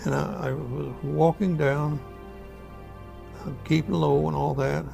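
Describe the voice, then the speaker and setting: An elderly American war veteran recounting his memories in a folksy, halting, repetitive way. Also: a little high, fairly even intonation, gravelly, music in the background. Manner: folksy, halting, repeats himself. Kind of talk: spoken first-person war reminiscence.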